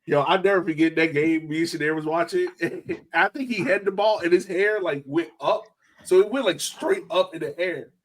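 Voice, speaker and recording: A man's voice: a little high, normal speed. A man co-hosting a casual sports podcast, talking in a slangy, storytelling way. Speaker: podcast co-host.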